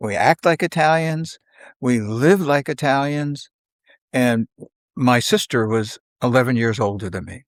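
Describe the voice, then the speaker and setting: An older man reminisces conversationally, in halting, unhurried phrases, often acting out bits of dialogue. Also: mid-range voice, no room sound, clean studio sound. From South Philadelphia, United States. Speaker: podcast guest.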